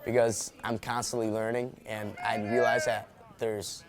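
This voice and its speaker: A man's voice: fairly low pitch, level tone, unhurried. A young man, an athlete, talking casually as he answers in an interview. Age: young adult.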